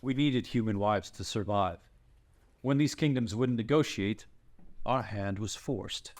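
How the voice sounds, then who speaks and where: A man's voice: lowish voice, unhurried, natural voice. A man playing a proud, grave dragon-shifter king in a screenplay table read, with a formal, stately delivery.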